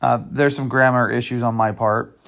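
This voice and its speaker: A man's voice: mid-range voice, steady tone, moderate pace, gravelly, a bit bright. Wry, laid-back man narrating. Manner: wry, dry asides and shrugging sarcasm; loose, meandering with fillers and restarts. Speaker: channel host exposing a scammer. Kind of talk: casual commentary walking through screenshots.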